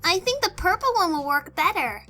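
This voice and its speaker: A woman voicing a sassy young girl pony in an expressive, exclamatory way for a cartoon fan audio drama. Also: extremely high pitch, brisk, soft background music, heavily acted. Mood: girlish, teasing.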